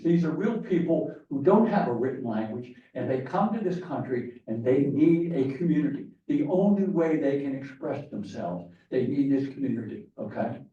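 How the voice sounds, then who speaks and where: A man's voice: mid-range voice, mellow. A man giving a rambling conference talk to an audience, with many fillers and restarts. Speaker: conference speaker. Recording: distant echoey hall, dull sound.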